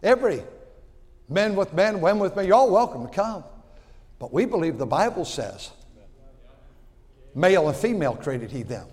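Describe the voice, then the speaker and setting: A man in his sixties preaching a sermon in a folksy way, repeating words and swinging from loud to quiet. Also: high voice, very animated, normal speed, long pauses, big-room sound. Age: 60s. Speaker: pastor.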